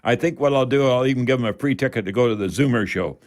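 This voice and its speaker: An older Canadian man hosting a radio show on home improvement. He talks in a folksy, unscripted way, wandering a little and repeating words to drive a point home. His voice sits at an ordinary male pitch. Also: quick pace. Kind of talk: folksy live radio talk with product tips.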